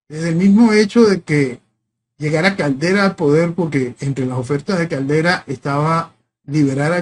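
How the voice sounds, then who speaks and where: Venezuelan guy ranting about politics, kinda high voice, asks question after question, gets loud then quiet. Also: slight background noise. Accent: Venezuelan Spanish. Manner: fervent, rhetorical questions, swells loud then drops. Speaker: political commentator. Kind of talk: impassioned political monologue.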